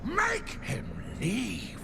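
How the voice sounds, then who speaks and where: An actor playing a raging, impatient, menacing demon in a video game, with a snarling voice. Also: male voice, very high voice, wildly swooping pitch, extremely slow, gravelly, noisy background, exaggerated voice. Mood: furious. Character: raging, impatient demon of spite sharing a man's body. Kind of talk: video game creature acting.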